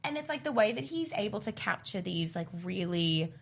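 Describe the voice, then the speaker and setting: A woman's voice: mid-range voice, lively intonation, normal speed, vocal fry, slight background noise. Gushing young woman co-host. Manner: gushing, leans heavily on "like". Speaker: podcast co-host. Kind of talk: casual chat.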